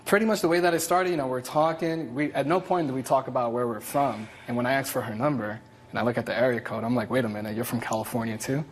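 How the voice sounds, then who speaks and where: A man's voice: medium pitch, fairly even intonation, fast, a little hiss or hum. A young man from the Dominican Republic, the plaintiff in a TV court case, telling his story in a rambling way. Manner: rambling, many fillers and restarts. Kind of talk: courtroom testimony.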